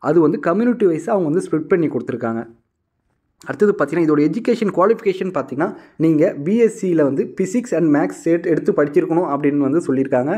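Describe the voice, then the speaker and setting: Male explainer host, very quick delivery, warm dark tone, instructive and repetitive. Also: fairly high pitch, animated, husky. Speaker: host of a job news channel. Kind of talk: informal explainer narration addressed to viewers.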